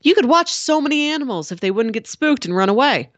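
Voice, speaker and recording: A woman's voice: high voice, expressive, fast, no room sound, dull audio. A woman co-hosting a podcast in casual chat, talking informally and descriptively with wry, playful asides. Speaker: podcast co-host discussing a film.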